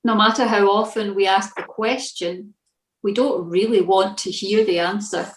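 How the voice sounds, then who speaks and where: A woman reading a scripted church devotional in a measured, reflective manner. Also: medium pitch, steady tone, reverberant, rough recording.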